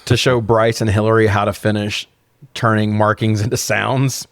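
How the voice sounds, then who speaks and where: A man's voice: lowish voice, little pitch movement, moderate pace, no echo, clean studio sound. A man co-hosting a podcast, casually recapping and riffing with incredulous, sweary humor. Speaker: podcast co-host. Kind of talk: casual comedic film recap and chat.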